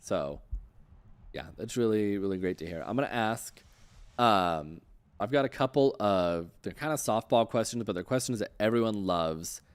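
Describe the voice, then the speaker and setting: A man's voice: fairly low pitch, lively intonation, normal speed, clear, resonant tone. A man hosting a casual livestream interview, informal and encouraging as he puts questions to a guest. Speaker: host interviewer.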